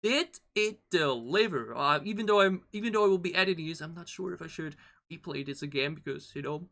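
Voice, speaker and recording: Bright, high-pitched man reacting on camera. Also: brisk, creaky. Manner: excitable, rambling, repeats phrases. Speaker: host of a K-pop reaction channel. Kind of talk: casual reaction commentary. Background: pop music from the video being watched.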